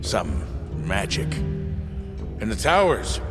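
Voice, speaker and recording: An older fantasy laborer, proud and bitter, who speaks like a keeper of old legends. His delivery is slow and solemn with big swings of pitch. It rings in long reverb under loud music. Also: wildly swooping pitch, full character voice. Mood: solemn wonder. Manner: portentous, solemn storyteller. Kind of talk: dramatic fantasy audio drama acting.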